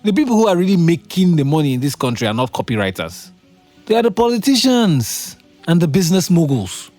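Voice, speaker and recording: An actor with a Nigerian accent playing a lovesick, self-doubting young copywriter in a conversational, hesitant audio drama style. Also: male voice, fairly high pitch, sing-song, a little fast, a little mellow, faint music behind. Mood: wry, grumbling. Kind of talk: naturalistic audio drama acting.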